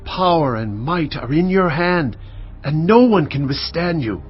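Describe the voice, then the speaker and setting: A solemn, commanding king with a fairly high voice. He speaks with earnest weight as if addressing his people. The sound is slightly muffled, with faint music beneath. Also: big pitch swings, unhurried, slightly rough, exaggerated voice. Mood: pleading prayer. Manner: solemn, commanding, pleading in prayer. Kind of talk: dramatised scripture reading.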